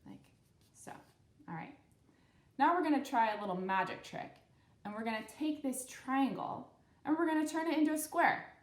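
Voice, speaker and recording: A woman in her thirties hosting a children's storytime, explaining things simply and encouragingly as she reads and guides young listeners. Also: mid-range voice. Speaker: children's storytime host and craft instructor. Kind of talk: read-aloud story and step-by-step craft instruction for kids. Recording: roomy, echoey room sound.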